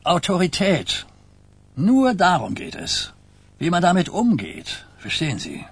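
A man's voice: high-pitched, wildly swooping pitch, a little slow, breathy edge, no room sound, full character voice. An actor in a radio drama playing an old Sicilian Mafia godfather, coarse and philosophical, who lectures in pondering phrases. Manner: pondering, lecturing, checks listener follows.

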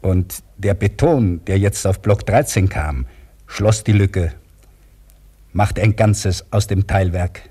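Older crane operator, proud and dutiful, mellow and husky, 1950s radio drama. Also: male voice, deep voice, slow pace, exaggerated voice. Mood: measured recollection.

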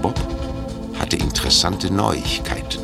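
A man's voice: deep voice, animated, a little slow, gravelly, full character voice. A man narrating a detective audio drama for young listeners in a scripted storytelling style. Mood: measured storytelling. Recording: music under the voice. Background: music.

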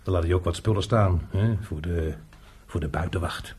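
An actor playing a chatty, jovial unemployed handyman in a radio drama, talking colloquially with a raspy, husky voice. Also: sits low, moderate pace, a little mellow. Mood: chatty, explaining. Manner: talkative, colloquial, chuckling. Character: chatty, jovial unemployed workshop handyman showing a newcomer around.

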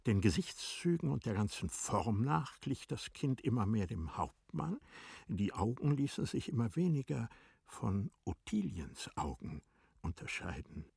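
A man reading scripted literary narration as a narrator, with measured, deliberate phrasing. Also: mid-range voice, sing-song, gravelly, darkish tone, husky, no room sound, clean studio sound.

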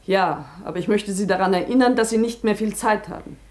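A woman's voice: mid-range voice, fairly quick, a little mellow, close to an everyday voice. An actress in a German radio play playing a strict, businesslike female sanatorium staff member. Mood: brisk, strict.

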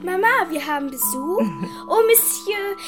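A little girl in a radio play. She has a high, excitable voice and prim, well-bred manners. Music sounds behind her. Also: unhurried. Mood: delighted. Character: polite, excitable little girl, daughter of society lady. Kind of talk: German radio play acting.

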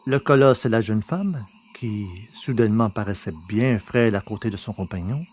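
A man's voice: mid-range voice, darkish tone, slight background noise. A man reading scripted story narration in the role of narrator.

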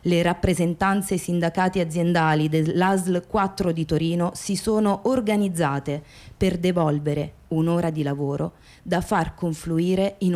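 Mellow-voiced woman narrator, formal. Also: fairly low pitch. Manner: measured, formal reading. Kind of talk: scripted documentary narration in radio theatre.

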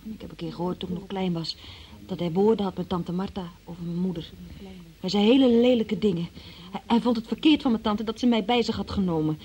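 A woman's voice: medium pitch, a little fast, warm tone, natural voice. An actress playing a timid young female shop worker under questioning in a radio drama, hesitant, meek and defensive. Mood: subdued.

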